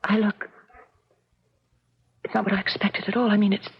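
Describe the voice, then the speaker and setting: An actress playing an earnest, dreamy middle-aged American housewife in a 1970s radio drama, speaking hesitantly in hushed phrases with pauses. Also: female voice, medium pitch, little pitch movement, slightly rough. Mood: shy, moved. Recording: muffled old 1970s radio recording.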